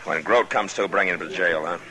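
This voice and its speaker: Deep-voiced commanding frontier marshal. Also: male voice, fairly quick, bright, forceful tone. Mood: calm, businesslike. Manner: terse, authoritative. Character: steady, commanding frontier marshal of the Old West. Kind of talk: 1950s radio western acting. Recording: noisy old radio drama recording.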